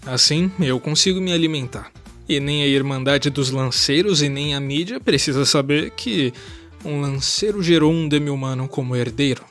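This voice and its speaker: A man's voice: a little high, moderate pace, fairly bright tone, soft background music, lightly acted. A man acting a proud, honourable lancer and father with formal, haughty phrasing in an audio drama. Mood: proud, defensive.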